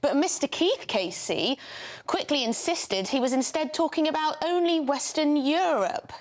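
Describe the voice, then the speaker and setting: A woman's voice: sits high, animated, normal speed. A British woman presenting the news in a conversational style.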